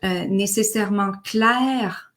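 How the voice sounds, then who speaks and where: A woman's voice: mid-range voice, slow pace, fairly bright tone. A woman with a Québécois French accent, a guest chatting live about a game she co-created, hesitant with many fillers. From Québec. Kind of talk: casual live conversation.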